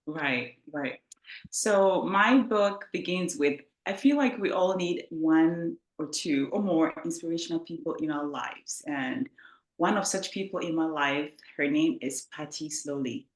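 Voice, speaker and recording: A woman's voice: sits low, unhurried. A woman from Ghana, a guest author, telling her story in an earnest, heartfelt way. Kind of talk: interview storytelling. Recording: echoey room.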